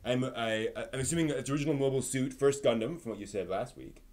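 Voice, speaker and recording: A man's voice: medium pitch, quick pace, darkish tone. A man hosting a live stream in a conversational way, moving between news rundown and casual asides to his viewers.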